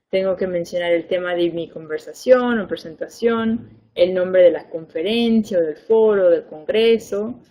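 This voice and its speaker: Young woman advisor, native Spanish speaker, warm low voice, patient explainer. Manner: clear, patient explaining with fillers and repeated emphasis. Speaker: education advisor. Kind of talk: webinar lesson.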